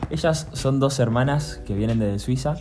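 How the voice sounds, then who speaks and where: A man hosting a podcast interview, asking measured, prepared questions. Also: mid-range voice. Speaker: podcast host. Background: music.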